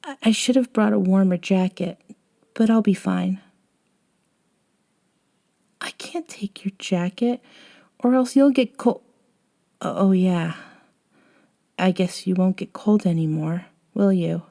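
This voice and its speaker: A woman acting as a gentle, caring young woman in an intimate ASMR audio drama. Her voice is dark and mellow, and she talks slowly and hesitantly. She often softens into a breathy whisper, with a tender, slightly wistful temper. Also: medium pitch. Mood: shy, tender. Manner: soft, hesitant, often sighing and dropping to a whisper.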